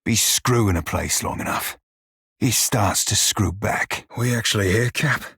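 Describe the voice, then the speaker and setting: An actor voicing a wry, foul-mouthed pirate shiphunter in a video game, speaking a colloquial sailor's dialect with a gritty, husky voice. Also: male voice, fairly low pitch, measured pace, bright, forceful tone, dry recording, clean studio sound, full character voice. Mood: wry, casual. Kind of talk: video game character dialogue.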